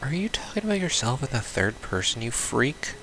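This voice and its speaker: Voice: mid-range voice, sing-song, a little fast, a bit bright, a little hiss or hum, low-quality recording. A game streamer giving casual, reactive commentary in a sweary, irreverent way, sometimes reading game text aloud.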